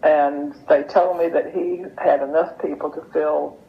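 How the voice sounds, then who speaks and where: Older woman with a creaky voice. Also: deep voice, fairly even intonation, measured pace. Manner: chatty, anecdotal. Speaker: interview guest. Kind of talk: casual storytelling. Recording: muffled lo-fi audio with noise.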